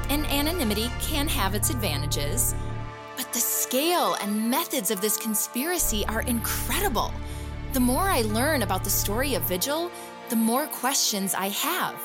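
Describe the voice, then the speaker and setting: Woman playing an earnest investigative podcast journalist, music behind. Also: a little high. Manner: earnest, deliberate, with rhetorical questions. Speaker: podcast host. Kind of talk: scripted investigative podcast narration.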